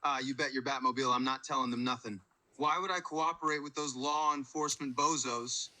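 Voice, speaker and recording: A man's voice: mid-range voice, fairly even intonation, brisk, pushed and bright, rough recording. A man playing a cocky, taunting criminal under interrogation in a superhero audio drama, with a mocking, theatrical, slangy delivery.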